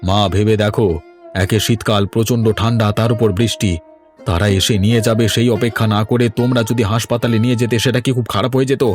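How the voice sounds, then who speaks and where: A man's voice: medium pitch, little pitch movement, quick pace, music in the background, close to an everyday voice. A man voicing a hurt young husband and son, reproachful and pleading, in a cartoon family drama.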